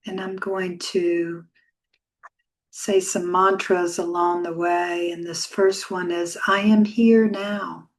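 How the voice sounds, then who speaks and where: Casting: female meditation guide, lower-pitched voice, very slow delivery, soft and soothing, gentle pauses. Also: flat delivery. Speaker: yoga meditation teacher.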